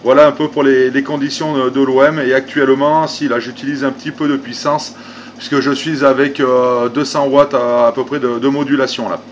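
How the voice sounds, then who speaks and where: Male radio hobbyist from France, medium pitch, informal and repetitive, with light background hiss. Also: level tone, a little fast. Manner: conversational, repeats phrases, tag words. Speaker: amateur radio operator. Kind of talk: unscripted radio contact chat. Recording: faint background noise.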